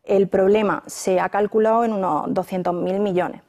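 A woman around thirty, a pregnant journalist, answering interview questions in a thoughtful way, with many pauses and restarts. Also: mid-range voice, steady tone, a little mellow. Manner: thoughtful, with frequent fillers and restarts.

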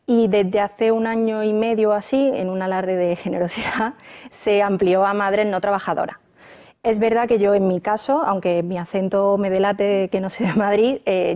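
A woman around thirty, a pregnant journalist, answering interview questions in a thoughtful way, with many pauses and restarts. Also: medium pitch, fairly even intonation, mellow. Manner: thoughtful, with frequent fillers and restarts.

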